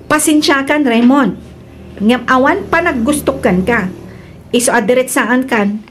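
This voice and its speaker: Natinis ti timekna a balasang. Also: female voice, sits high, measured pace. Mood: firm. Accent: Ilocano. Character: frank young woman turning down an unwanted suitor. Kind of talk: Ilocano comedy radio drama acting.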